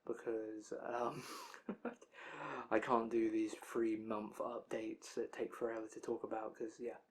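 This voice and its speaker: A man's voice: fairly low pitch, completely flat delivery, unhurried, slight background noise. Chatty young man with a worn-out voice. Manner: chatty, rambling, loose asides. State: tired, worn-out voice. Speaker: anime release news vlogger. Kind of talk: casual rambling roundup.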